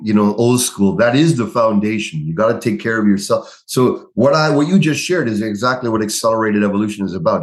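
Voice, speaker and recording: A man speaking as an interview guest and coach in an encouraging, affirming, conversational manner. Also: fairly low pitch.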